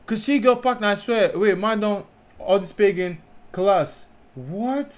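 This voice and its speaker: A man's voice: high-pitched, a little slow. A young adult man hosting a reaction video, animatedly exclaiming and puzzling aloud over rap lyrics, recorded in a small bedroom. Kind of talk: excited reaction commentary. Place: small bedroom lit pink and red, indoors. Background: faint rap track playing.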